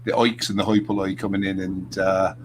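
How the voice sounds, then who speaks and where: Deep bloke hosting, says you know a lot, noisy background. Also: male voice, little pitch movement, brisk. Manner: rambling, frequent "you know" fillers. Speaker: host. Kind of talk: casual political chat. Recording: noticeable background noise.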